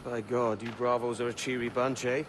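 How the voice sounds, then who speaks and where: An actor playing a cocky, sardonic young pirate captain in a video game, talking in a wry, rough, colloquial way. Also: male voice, medium pitch, little pitch movement, moderate pace, background noise, close to an everyday voice. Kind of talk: cinematic video game acting. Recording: dramatic music behind the voice.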